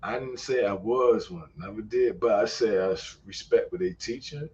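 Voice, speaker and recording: A man's voice: on the low side, normal speed, darkish tone, husky, dull audio. A man hosting a casual online voice chat, slangy and loose, swearing often and laughing a lot. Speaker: host. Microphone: online call mic.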